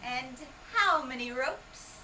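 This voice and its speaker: A woman's voice: high-pitched, takes it slowly. A woman from Hawaii performing magic, teasing and quizzing a small parlour audience with comic patter. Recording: distant mic with steady background noise.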